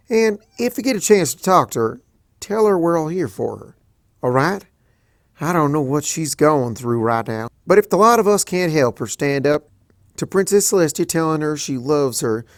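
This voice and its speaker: A blunt country farm pony mare talks in a brash, folksy way, as a reader puts her on. Her lines come out louder and punchier than the narration, recorded dry and close. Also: mid-range voice, dry recording, pitched above the performer's own voice, close to an everyday voice.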